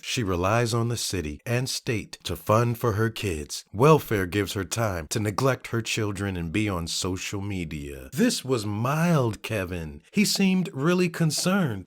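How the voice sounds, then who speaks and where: A man narrating online comments as a theatrical dramatic reading, shifting his delivery from one comment to the next. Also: medium pitch. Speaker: narrator of a social media commentary channel.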